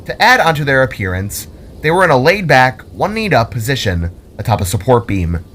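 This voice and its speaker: A man reading scripted fantasy story narration in a dramatic, deliberate storytelling manner. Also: mid-range voice, sing-song, a bit bright, slight background noise. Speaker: author and narrator. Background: music.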